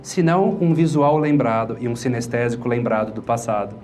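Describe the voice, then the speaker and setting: A man's voice: medium pitch, fairly quick, darkish tone. A man with a Brazilian accent giving an instructional lecture, explaining a method step by step in a didactic way and often answering his own questions. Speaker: hypnotherapist and instructor. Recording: music playing under the voice. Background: background music.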